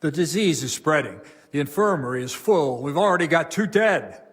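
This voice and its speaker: An actor playing a stern, burdened abbot of a medieval monastery, grave and formal, in a dramatic game cutscene. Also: male voice, a little high, lively intonation, normal speed, lightly acted. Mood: angry, commanding.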